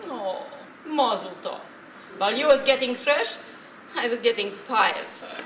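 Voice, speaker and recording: A woman playing a sardonic old woman, high-voiced. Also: lively intonation, measured pace. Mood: bitter, sarcastic. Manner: dry sarcasm, scolding, Yiddish-flavoured phrasing. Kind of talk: stage play acting, dramatic dialogue. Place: stage in a theatre, distant microphone. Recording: echoey, noisy theatre recording from far off.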